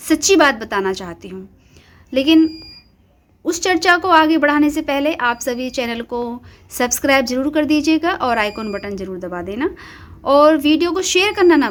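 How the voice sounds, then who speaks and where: High-pitched woman, kinda hoarse from a cold, earnest devotional chat to viewers on a phone at home. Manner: earnest, questioning, speaks directly to viewers. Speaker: devotional channel host. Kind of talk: casual devotional talk to viewers. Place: home shrine indoors.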